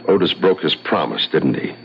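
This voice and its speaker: A man's voice: very deep voice, animated, moderate pace, creaky, heavily acted. An actor playing a suspicious, insistent man in a radio suspense drama, dry and pressing. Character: suspicious, insistent, low-voiced man confronting a woman. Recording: dull old radio recording, faint noise.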